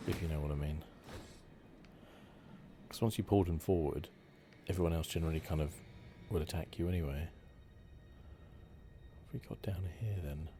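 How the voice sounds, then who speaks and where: Deep-voiced man doing ASMR game commentary. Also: normal speed, long pauses, noisy background. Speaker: gaming channel host. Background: game music.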